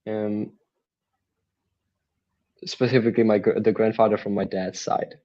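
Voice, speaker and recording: A young man from the Czech Republic with a Czech accent, a native Czech speaker, answering interview questions remotely in a halting way. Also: fairly low pitch, flat delivery, dull audio. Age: young adult. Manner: halting, with restarts and fillers. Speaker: guest, mindset coach.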